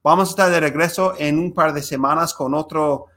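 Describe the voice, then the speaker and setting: A man's voice: fairly high pitch, brisk, bright, forceful tone. Hesitant Spanish-speaking male podcast host. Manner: hesitant, many fillers. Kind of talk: conversational interview.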